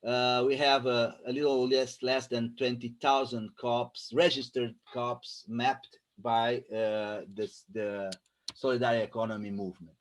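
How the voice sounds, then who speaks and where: A man with a Brazilian Portuguese accent walks through statistics on his slides. He speaks haltingly over a video call, pausing often to search for the right words. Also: mid-range voice, little pitch movement. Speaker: guest presenter. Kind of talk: slide presentation.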